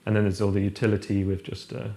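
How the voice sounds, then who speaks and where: A young architect chatting casually while showing a room in a house, rambling with many fillers and restarts. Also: male voice, fairly low pitch, level tone, fast, creaky, warm tone. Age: young adult. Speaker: architect guest. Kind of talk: casual chat, house tour. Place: large open-plan house living room, indoors.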